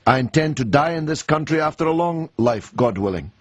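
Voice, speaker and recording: A man's voice: a little high, lively intonation, brisk, no echo. A man from Britain hosting a radio phone-in, combative and questioning his caller. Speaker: radio talk show host. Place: radio studio. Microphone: studio mic.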